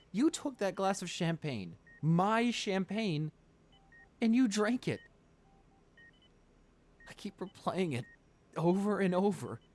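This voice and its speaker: A man playing a wealthy, devoted client pleading at his comatose lover's bedside in an intimate ASMR roleplay, emotional and halting. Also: on the high side, a little mellow. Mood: anguished. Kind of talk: ASMR boyfriend roleplay, dramatic monologue.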